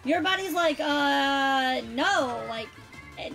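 High-voiced chatty woman streamer. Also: animated, slow pace, vocal fry, a bit bright, soft background music. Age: 30s. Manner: chatty, fills speech with "like". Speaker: streamer hosting a game stream. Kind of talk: casual chat while gaming.

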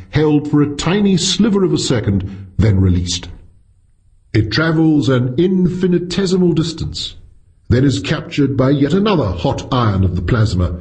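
A male audiobook narrator reads science fiction in an expressive storytelling manner. His voice sits at a medium pitch and moves widely up and down as he reads the scripted prose.

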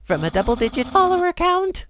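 An actor playing a naive, vain, excitable male cartoon skeleton in a video game dub, theatrical and earnest. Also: very high voice, fairly quick, heavily acted. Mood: proud, earnest. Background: game music.

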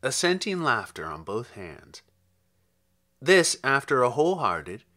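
Man, poet's reading, slow and deliberate, lively pitch movement, dramatic emphasis. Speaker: poet reading own poems. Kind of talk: literary poetry reading.

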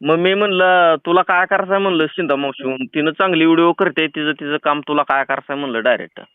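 High, clear-voiced rural Maharashtrian man on a phone. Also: fast talker, no room sound. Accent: rural Maharashtrian Marathi. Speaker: prank caller. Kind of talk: casual teasing phone chat. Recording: tinny, phone-like band-limited sound.

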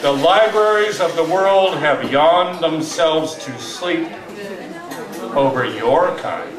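An older man in his sixties gives a live poetry reading, with deliberate dramatic pauses and wry asides. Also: fairly high pitch, crowd noise. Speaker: poetry reader at an open mic. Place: small tavern stage indoors. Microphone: stand microphone, captured from far camera. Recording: roomy live tavern, distant mic, audience noise.

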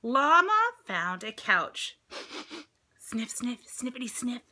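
Bright-voiced American woman reading a picture book. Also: fairly high pitch, slow pace. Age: middle-aged. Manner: expressive, exaggerated reading with questions to listeners. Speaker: storybook reader, teacher reading to children. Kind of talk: read-aloud of a picture book with chatty asides to young listeners. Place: indoors at home, room with dresser and ceiling fan. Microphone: phone or tablet at arm's length.

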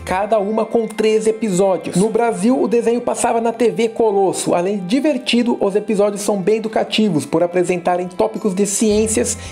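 A woman's voice: medium pitch, fairly even intonation, brisk, few pauses, dark tone. A Brazilian woman hosting a retro video, narrating from a script with casual asides. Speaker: host and narrator of a retro nostalgia video channel. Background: background music.

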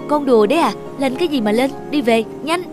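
A woman playing a modest, reluctant middle-aged single mother in an animated drama, speaking earnestly and tenderly. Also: high-pitched, fast talker, over music, natural voice. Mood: flustered. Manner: earnest, protesting, tender with her child. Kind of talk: animated moral drama acting.

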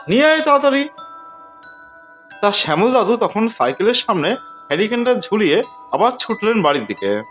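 Animated high-voiced man telling stories. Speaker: host and narrator of a ghost story podcast. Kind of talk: scripted dramatic storytelling narration.

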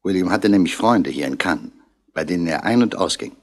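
An actor playing a middle-aged, dry-witted police commissioner in a radio crime drama, asking terse, probing questions in a husky voice. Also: male voice, deep voice, lively intonation, a little fast. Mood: brisk, dry. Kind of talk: 1960s German radio crime drama acting.

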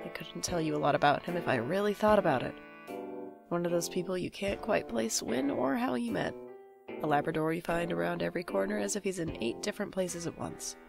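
An actor playing a wry, self-deprecating young adult in an audio drama, speaking and narrating in a dry, deadpan way. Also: mid-range voice, a little fast, creaky, over music.

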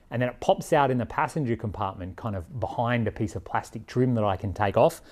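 Guy in his 40s from australia, kinda low voice, chatty straight-up vlog explainer. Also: a little mellow. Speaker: vlogger, overland traveller. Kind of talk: casual explanatory vlog to camera. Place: indoors in a workshop garage, and seated in a vehicle.

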